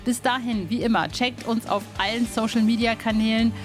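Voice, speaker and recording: A woman's voice: on the high side, steady tone, fairly quick, soft background music. A woman hosting a podcast in an informal, chatty and enthusiastic way. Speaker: podcast host and interviewer.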